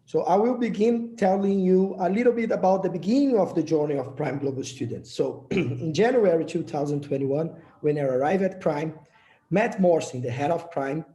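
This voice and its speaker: A man's voice: on the high side, dark tone, roomy sound. A young man from Brazil with a Brazilian Portuguese accent, giving an earnest prepared presentation as project leader, with small slips and restarts.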